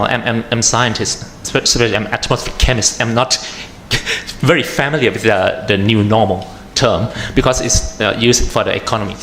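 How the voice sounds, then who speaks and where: A man with a Thai accent, an atmospheric chemist giving a conference talk, halting with many fillers and restarts. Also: medium pitch, a bit bright. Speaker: panel speaker, atmospheric chemist. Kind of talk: conference presentation. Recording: echoey room with faint noise.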